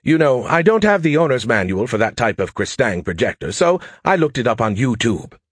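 A man's voice: mid-range voice, sing-song, fairly quick, husky, dry recording. Man performing a snarky male AI, audiobook character, condescending, exasperated, muffled articulation, dry close sound. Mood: sarcastic, scolding. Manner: sarcastic, mocking, exasperated. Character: sarcastic, irritable artificial intelligence, male, condescending smart aleck.

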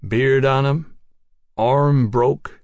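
A man's voice: mid-range voice, fairly even intonation, no room sound. Man playing a grim, weary gunman, dry-voiced. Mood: curt, menacing. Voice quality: dry, sharp voice. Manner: toneless, terse, drawn-out phrases. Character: grim, weary, menacing middle-aged Texan gunman. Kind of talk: audiobook character acting.

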